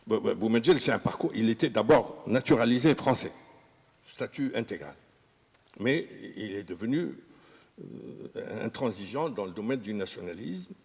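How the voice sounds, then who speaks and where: A man speaking as a historian in an unscripted discussion, talking haltingly with many fillers and restarts. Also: lowish voice, expressive, creaky, darkish tone, breathy edge. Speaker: historian guest. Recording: reverberant room.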